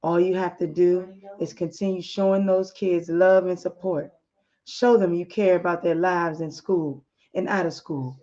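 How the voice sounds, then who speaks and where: A woman voicing a loving husband who calmly reassures his upset wife. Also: fairly low pitch, lightly acted. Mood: soothing. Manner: slow, soothing. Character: calm, loving husband, adult man. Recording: slightly muffled audio.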